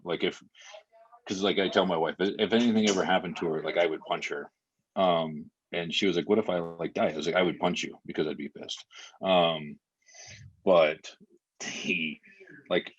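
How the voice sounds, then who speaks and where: A man as a podcast guest, talking casually and telling a story in a halting, rambling way, with many fillers and restarts. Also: deep voice, a bit bright. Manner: plain, matter-of-fact storytelling. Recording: slightly muffled lo-fi podcast audio.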